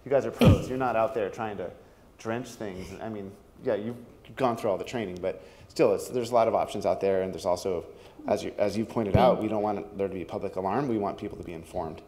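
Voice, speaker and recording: Loud, mellow-voiced man at public comment. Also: on the low side, a little fast. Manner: loud, rambling, with restarts. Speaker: teacher and parent speaking at public comment. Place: community hall meeting room.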